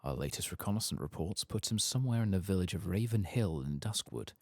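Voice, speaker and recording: A man softly reading out the lines of a guarded, calculating fantasy spymaster in a game. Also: low-pitched, lively intonation, quick pace, no room sound, studio quality. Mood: grim, businesslike. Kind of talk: soft-spoken reading of game quest text.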